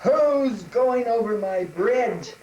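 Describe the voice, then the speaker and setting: A woman voicing a big, mean old troll in a children's storybook tale, loud and drawn-out in a noisy room. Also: a little high, animated, dull audio. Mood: menacing. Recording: roomy, distant mic, noisy.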